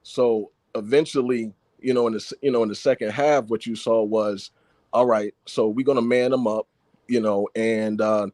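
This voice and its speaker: A man calling in to a sports show, chatting in a relaxed way. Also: mid-range voice, no echo. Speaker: caller. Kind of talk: casual sports talk.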